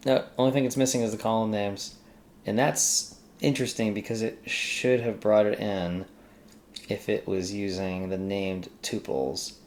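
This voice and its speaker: Casting: tutorial host, low register with vocal fry, informal think-aloud delivery over a screen recording, faint noise and typing. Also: fairly even intonation, a little slow. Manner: informal, exclamatory asides to self and software.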